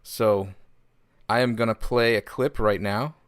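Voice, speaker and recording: A man's voice: on the low side, fairly even intonation, a little slow, smooth, dry recording, clean studio sound. A man co-hosting a podcast, talking casually and informally as he chats and presents items. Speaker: podcast co-host. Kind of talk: casual chat and gaming news segment.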